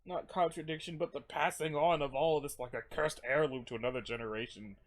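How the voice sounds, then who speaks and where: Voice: medium pitch, fairly even intonation, brisk, close to an everyday voice. Performer as a stern, grandiloquent ranting moralist. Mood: scornful, grave.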